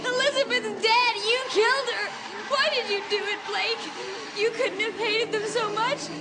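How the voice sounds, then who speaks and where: Very shrill, distraught teenage heroine. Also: female voice, fairly even intonation, measured pace, over a noisy crowd, heavy background noise. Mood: desperate accusing. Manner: urgent, emotional. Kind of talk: dramatised horror audiobook acting.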